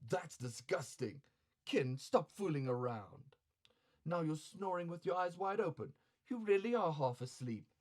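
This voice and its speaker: A man voicing a wily woman courtesan in a comic story, soft-spoken, coaxing and chiding with reproach. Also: a little high, expressive, a little slow, voice pushed up. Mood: reproachful.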